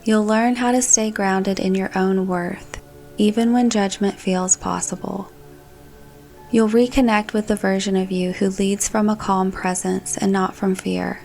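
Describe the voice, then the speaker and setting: A woman leads a guided meditation with a calm, soothing delivery. Her voice sits at a medium pitch and she speaks gently, as a guide reading prepared narration. Music plays faintly behind her. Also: a little slow, vocal fry. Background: background music.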